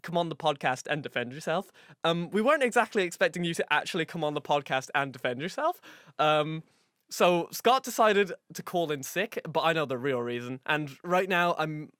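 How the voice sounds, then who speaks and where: Irish podcast co-host, high lively voice, dry close mic, jokey. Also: male voice, no room sound. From Ireland. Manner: jokey, bursts into loud silly voices. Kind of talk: casual podcast chat.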